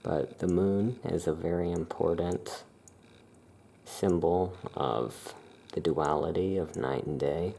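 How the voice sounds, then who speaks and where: Soft-spoken ASMR shopkeeper, unhurried and calm, with a mellow, gravelly tone. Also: sits low, a little hiss or hum. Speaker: witch shop keeper presenting amulets.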